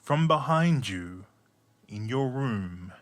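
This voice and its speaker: A man narrating a scripted audio drama in the second person, in a measured storytelling manner. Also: mid-range voice, expressive.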